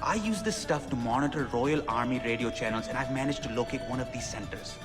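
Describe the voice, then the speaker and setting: An actor playing an excitable young pirate-radio DJ in a video game, chattering fast with slang. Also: male voice, medium pitch, close to an everyday voice. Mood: excited. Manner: fast, animated, self-promoting chatter with slang. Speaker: radio host character. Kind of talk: video game cutscene acting. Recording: game audio with music and hall reverb.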